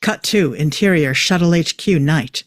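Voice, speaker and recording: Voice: mid-range voice, a little slow, no room sound. A narrator reading stage directions from a drama script.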